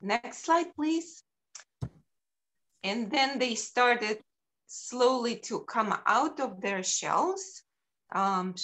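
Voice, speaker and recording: A middle-aged woman from Ukraine walks through slides of her work on a video call. She speaks slowly, pausing often with ums and uhs. Her voice sits at an ordinary pitch. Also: fairly bright tone. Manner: unhurried, halting with frequent ums. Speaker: sculptor presenting her work.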